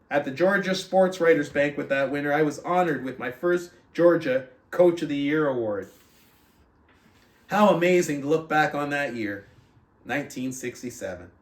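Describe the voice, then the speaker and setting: A man hosting a book club, reading aloud and giving motivational coaching talk, putting questions to his listeners. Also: fairly high pitch. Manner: addresses listeners with rhetorical questions. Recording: roomy echo, distant from the mic.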